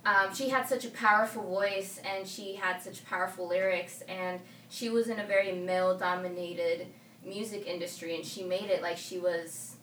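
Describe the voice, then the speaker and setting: A woman's voice: on the low side, fairly even intonation, moderate pace, a bit bright. A woman whose first language is Spanish, chatting casually as a singer being interviewed. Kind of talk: casual conversational chat. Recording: echoey, noisy room, distant mic.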